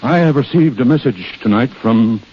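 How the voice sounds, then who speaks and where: Grave, halting aristocratic duke, played by a man. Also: mid-range voice, animated, close to an everyday voice. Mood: anxious, urgent. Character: anxious, courteous aristocratic duke hosting a dreaded guest. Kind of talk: 1930s radio drama acting. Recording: muffled, noisy 1930s radio broadcast.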